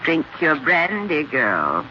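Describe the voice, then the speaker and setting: An actress playing a genteel wife with honeyed sweetness and cool menace, speaking in a measured, ladylike 1940s radio manner. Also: deep voice, huge pitch swings, bright, forceful tone, full character voice. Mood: silkily insistent. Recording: noisy, dull 1940s radio recording.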